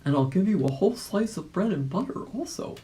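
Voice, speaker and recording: Kindly old gentleman rabbit, woman acting him out, mellow, lilting, polite and earnest, storybook character. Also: lowish voice, lively intonation, fairly quick, pitched above the performer's own voice, lightly acted. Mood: kindly, musing.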